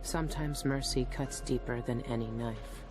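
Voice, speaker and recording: A woman's voice: rumbling low, steady tone, normal speed. A woman playing a weary, guilt-ridden former assassin in a video game, introspective and clipped over music. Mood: brooding. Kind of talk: brooding video game narration and dialogue.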